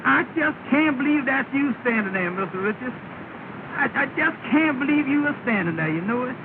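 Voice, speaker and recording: High-voiced, earnest Southern cabbie. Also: male voice, lively intonation, normal speed. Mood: earnest, grateful. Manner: folksy, plaintive, deferential. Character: earnest, humble, sentimental Southern working man, a cab driver. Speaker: actor in a comedy film. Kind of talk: 1930 early sound film comedy acting. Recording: scratchy, tinny, noisy 1930 film soundtrack.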